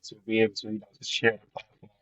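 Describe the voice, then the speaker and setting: A man's voice: on the low side, monotone, racing, a bit bright, no echo. A man hosting a podcast, speaking casually with many hesitations and slang. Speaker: podcast host. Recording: dry, dull lo-fi sound.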